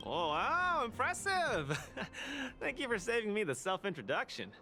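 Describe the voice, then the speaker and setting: A cocky, good-natured young street fighter in a video game, talking in a bright, ringing voice. His delivery is brash and upbeat, bouncing around lively in pitch over faint game music. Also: measured pace, exaggerated voice. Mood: brash cheerful. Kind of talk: studio video game voice acting.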